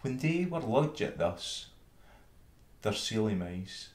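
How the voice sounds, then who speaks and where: A Scottish man reading an old fable in verse with measured, dramatic storytelling. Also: lowish voice, sing-song. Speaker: reader. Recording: roomy, echoing space.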